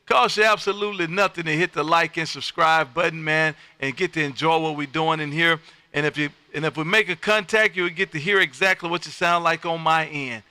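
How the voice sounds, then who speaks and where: Bright, forceful man on ham radio. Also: on the high side, brisk, no room sound. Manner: loud, folksy, animated radio patter. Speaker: amateur radio operator and livestream host. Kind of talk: casual radio chat. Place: home radio room.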